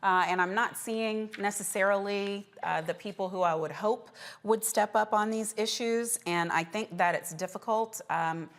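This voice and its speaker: A woman moderating a panel discussion as host, speaking emphatically with deliberate phrasing. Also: mid-range voice. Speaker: talk show host.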